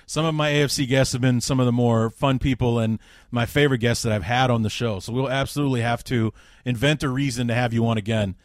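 A male podcast host with a baritone voice and a lively, joking way of talking to his guest. The sound is dry and close. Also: fast talker, no room sound. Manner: enthusiastic, joking banter. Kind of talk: casual football podcast chat.

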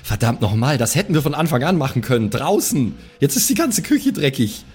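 A man's voice: mid-range voice, big pitch swings, brisk, a little mellow, breathy edge, faint music behind. A man playing a rebellious, impatient metalhead woman of twenty-two, blunt and slangy in an improvised audio play. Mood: irritated. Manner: slangy, mild swearing, blunt.

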